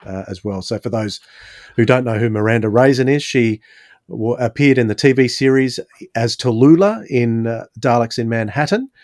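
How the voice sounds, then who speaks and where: A man's voice: medium pitch, normal speed, darkish tone, dry recording, studio quality. A man hosting a podcast, chatting casually as he reviews audio dramas. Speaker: podcast host.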